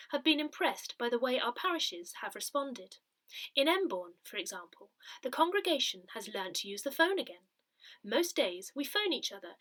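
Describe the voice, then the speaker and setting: A woman reading written articles aloud in a measured, clearly phrased way, as for an audio newsletter. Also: fairly high pitch, a bit bright. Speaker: newsletter reader.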